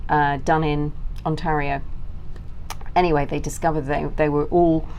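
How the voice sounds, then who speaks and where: A woman's voice: low voice, flat delivery, normal speed, darkish tone, slight background noise. A middle-aged British woman talking as an interview guest, explaining things informally in long, self-interrupting sentences.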